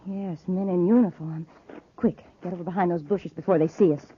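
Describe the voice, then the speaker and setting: An actress playing a cold, commanding, scornful criminal boss in a 1950s radio serial. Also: female voice, on the low side, fairly even intonation, a little fast, a little hiss or hum, natural voice. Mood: wary, commanding. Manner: commanding, crisp orders, scornful. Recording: muffled old 1950s radio recording.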